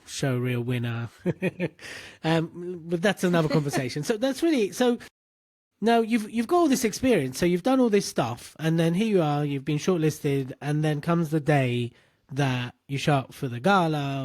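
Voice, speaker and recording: A man hosts a podcast interview, tossing in jokes and eager reactions. His voice sits fairly high for a man. The sound is dry and close. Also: animated, moderate pace, darkish tone, dry recording. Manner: jokey, enthusiastic interjections. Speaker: podcast host. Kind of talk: interview hosting.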